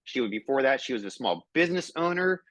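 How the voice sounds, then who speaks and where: A man's voice: medium pitch, very animated, fast talker, a bit bright, no echo. Brief: male webinar host, upbeat, casual, dry close sound on a call mic. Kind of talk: friendly webinar hosting.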